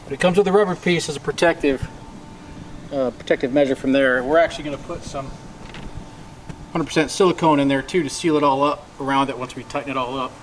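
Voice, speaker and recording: A man's voice: mid-range voice, fast talker, rough recording. An American man narrating a casual hands-on how-to in an informal, plain-spoken way, recorded outdoors on a camera mic. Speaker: DIY boat maintenance video host. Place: outdoors, on a boat parked by a driveway. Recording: faint outdoor background noise.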